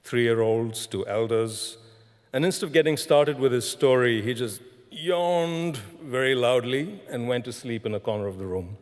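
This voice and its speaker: A man giving a graduation speech in a measured storytelling manner, recorded in a large hall. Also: mid-range voice. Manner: measured, storytelling delivery with deliberate repetition. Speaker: graduation ceremony speaker. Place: large concert hall, live ceremony. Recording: echoing large concert hall.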